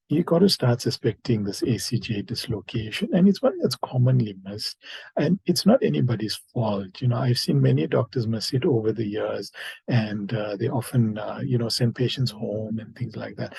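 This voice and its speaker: A man giving an informal medical lecture in a conversational, hesitant way, often pausing on filler words. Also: mid-range voice, lively intonation, vocal fry, darkish tone, slightly rough, no room sound, poor audio. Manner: hesitant, conversational, rambling delivery with many fillers. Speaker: medical lecturer, emergency doctor.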